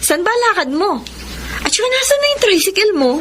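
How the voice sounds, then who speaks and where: A woman voice actor playing a married woman quietly torn by love, in a radio drama with music under her voice. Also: very high-pitched, big pitch swings, fairly quick, slight background noise, heavily acted. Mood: tender.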